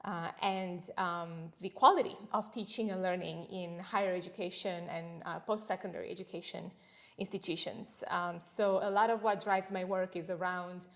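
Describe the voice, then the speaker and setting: Woman from italy, italian native speaker, lower voice, measured academic talk, pauses and fillers. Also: steady tone. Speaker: senior lecturer, interview guest. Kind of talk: academic interview conversation.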